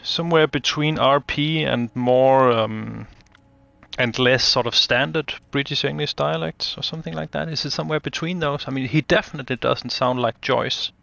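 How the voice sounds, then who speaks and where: A man's voice: medium pitch, normal speed, creaky, a bit bright, no room sound. A man in a casual analytical discussion, speaking tentatively and thinking aloud as an interviewer. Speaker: interviewer researching game voices.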